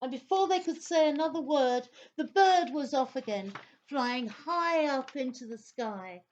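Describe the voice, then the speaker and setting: A woman narrating a scripted children's story in an expressive, dramatic way. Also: high-pitched, a little slow, highs cut off, a little hiss or hum.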